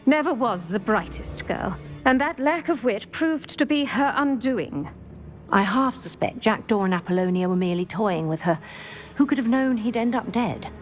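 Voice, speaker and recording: An actor voicing an older retired Auror woman who speaks briskly and formally in scripted game dialogue. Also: on the high side, animated, soft background music, natural voice. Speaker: retired Auror guiding a student.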